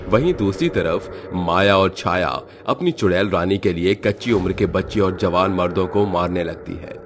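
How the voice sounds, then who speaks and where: A man's voice: low voice, moderate pace. A man narrating a scripted horror story.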